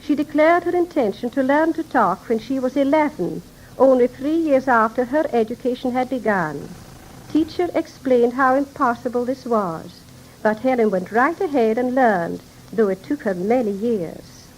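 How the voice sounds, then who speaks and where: A woman with a high voice speaks as a guest on a 1930s radio interview. Her delivery is formal, articulate and explanatory. Faint noise sits over the old broadcast recording. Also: lively intonation, moderate pace, darkish tone, poor audio.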